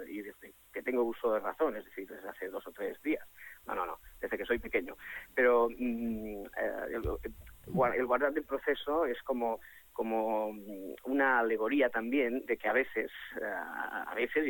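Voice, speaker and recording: A young adult man from Catalonia, answering interview questions in an animated, joking way, repeating himself and restarting his sentences. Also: fairly low pitch, breathy edge, low-quality recording. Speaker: guest, comedian behind a satirical superhero character. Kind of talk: casual interview talk.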